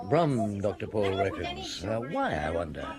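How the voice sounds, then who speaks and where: Ruminative, gruff police chief inspector. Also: male voice, sits low, sing-song, measured pace, a crowd in the background. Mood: musing, dry. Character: world-weary, gruff but courteous male police chief inspector. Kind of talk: radio crime drama acting.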